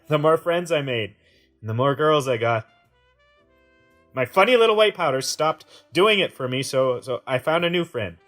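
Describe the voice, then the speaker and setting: A man acting a troubled, defensive, self-pitying brother in an audio drama, speaking in halting, broken phrases. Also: medium pitch, a bit bright, close to an everyday voice.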